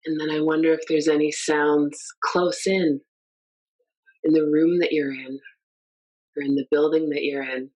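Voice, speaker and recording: A woman leads a mindfulness meditation with a notably deep, low voice. She speaks gently and without hurry, inviting the listener to notice sounds and sensations. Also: level tone, unhurried, long pauses, muffled recording. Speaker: meditation guide. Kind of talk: guided meditation.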